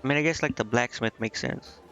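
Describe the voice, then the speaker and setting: A man giving casual game commentary as a streamer, rambling and thinking out loud. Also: mid-range voice, brisk, faint music behind. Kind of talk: casual gameplay commentary, reading game dialogue aloud. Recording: muffled mic with clear background noise.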